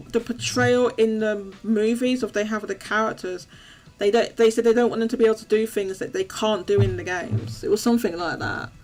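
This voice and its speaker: A woman hosting a live call-in stream, chatty and upbeat, her voice going a little hoarse. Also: mid-range voice, a little mellow. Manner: chatty, restarts often, upbeat. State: losing her voice. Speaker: host.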